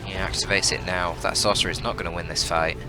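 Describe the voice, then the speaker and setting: A man commentating on a strategy game match as a shoutcaster, explaining tactics analytically as he goes. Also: deep voice, steady tone, fast talker, over music. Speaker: esports shoutcaster.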